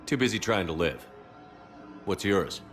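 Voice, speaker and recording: A man playing a steadfast military commander in a video game, speaking in a measured, earnest, terse way. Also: sits low, lively intonation. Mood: easygoing. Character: steadfast male military commander, a resistance-minded space hero. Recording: background music under dialogue.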